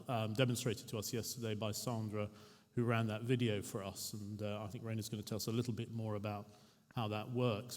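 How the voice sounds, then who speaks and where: A man moderating a conference panel, introducing speakers and laying out topics in a measured, explanatory way. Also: medium pitch. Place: large conference hall stage. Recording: hall reverb.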